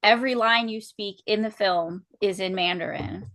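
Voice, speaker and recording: Female interview host, middle pitch, friendly and curious in her questions. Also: moderate pace, fairly bright tone, low-quality recording. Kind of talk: casual interview chat.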